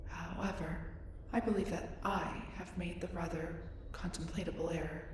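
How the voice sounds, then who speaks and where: Audio drama casting: woman as reserved alien scientist, female, curious, formal and measured; very echoey, far from the mic. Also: lowish voice, steady tone, darkish tone, natural voice. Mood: formal, apologetic. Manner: formal, measured, precise wording. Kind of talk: scripted roleplay audio drama. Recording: roomy echo, distant, clear background noise.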